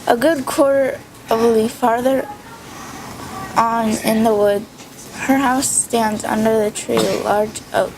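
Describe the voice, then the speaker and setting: Sweet trusting little girl read by a woman, gravelly edge, slightly high, noisy room. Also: fairly even intonation, a little slow.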